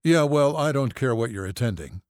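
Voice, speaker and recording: Man acting a blunt, authoritative male doctor, animated delivery. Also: mid-range voice, moderate pace, no echo, studio quality, pitched above the performer's own voice, lightly acted. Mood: confrontational. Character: gruff, blunt, burn-savvy older family physician, combative. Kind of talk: audiobook character acting.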